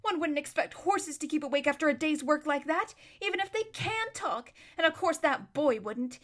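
A woman voicing a proud, impatient noble girl who speaks in a brisk, commanding way. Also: extremely high pitch, pushed and bright, pitched above the performer's own voice. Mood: self-reproaching.

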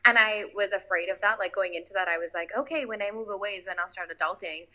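A woman's voice: mid-range voice, fast, bright, forceful tone, low-quality recording. A woman in her twenties talking earnestly and thoughtfully in a casual chat, with lots of "like". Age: 20s. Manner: earnest, thoughtful, uses "like" a lot.

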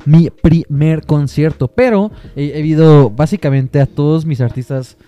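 A man's voice: a little high, a little slow, mellow, muffled recording, soft background music. A young man from Peru co-hosting a podcast in casual chat, slangy and profane, with frequent filler words. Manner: slangy, swears freely, uses local filler words. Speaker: podcast co-host.

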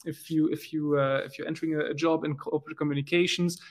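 A man from Belgium, speaking as a guest in a casual conversation, often pausing with fillers and restarting his sentences. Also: fairly high pitch, flat delivery. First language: German. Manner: hesitant, many fillers and restarts.

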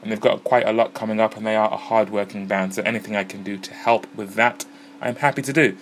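A man's voice: lowish voice, steady tone, quick pace. A man hosting a music podcast, chatting casually and easily about bands and gigs. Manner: chatty, easygoing, self-deprecating. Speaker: podcast host. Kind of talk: casual podcast narration and interviews. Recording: noisy pub smoking area with crowd.

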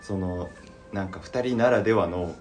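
A man's voice: low-pitched, moderate pace, a little mellow, soft background music. A man in a casual creative chat, thinking aloud and acting out imagined lines as he goes. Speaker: podcast member discussing a voice drama plan.

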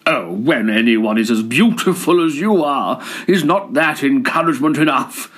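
A man voicing a vain, boastful male shirt collar in a storybook reading, pompous and flattering. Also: mid-range voice, normal speed.

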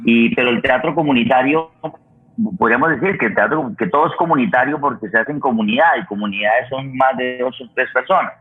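A man with a Colombian accent and a husky, mid-pitched voice hosts a reflective discussion. He explains things deliberately, halting to search for words. The sound is thin, like a phone line. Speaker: radio forum host.

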